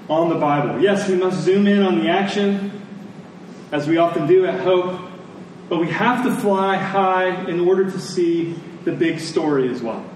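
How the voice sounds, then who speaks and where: High-voiced American preacher in an echoey hall. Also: male voice, a little mellow. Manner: conversational, rhetorical questions, short deliberate phrases. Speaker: pastor preaching. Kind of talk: sermon. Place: large church meeting room with congregation. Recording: echoey hall, distant mic, faint noise.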